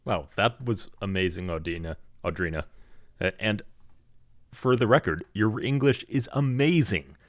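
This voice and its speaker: A man's voice: lowish voice, normal speed, no echo. Upbeat male podcast host, dry sound. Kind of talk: casual podcast chat.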